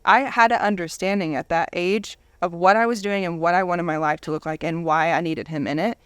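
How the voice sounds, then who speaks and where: A woman's voice: on the low side, fairly even intonation, fairly quick, smooth, dry recording. A woman in her thirties telling her personal story candidly as a guest in a casual interview. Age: 30s.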